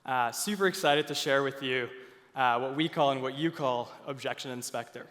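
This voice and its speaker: A man's voice: medium pitch, normal speed, fairly bright tone. A young man from Finland giving an earnest conference stage presentation, with frequent fillers and restarts. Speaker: product speaker at a conference. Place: large conference hall. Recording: long hall reverb.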